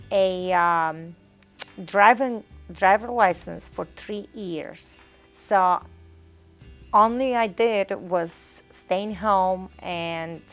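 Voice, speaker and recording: A woman from Bulgaria with a Bulgarian accent, answering interview questions in halting English and correcting herself as she goes. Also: medium pitch, fairly bright tone. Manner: halting, simple learner's English with self-corrections.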